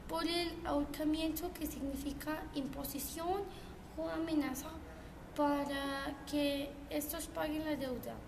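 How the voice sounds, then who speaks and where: A woman playing a polite female historian guest, speaking hesitantly with fillers. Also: high voice. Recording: echoey, noisy homemade recording.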